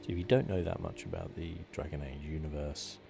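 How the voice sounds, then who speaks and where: A man giving casual ASMR commentary on a game playthrough in a hushed, rambling, musing manner. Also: low voice, brisk, vocal fry, music in the background. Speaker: gaming video host.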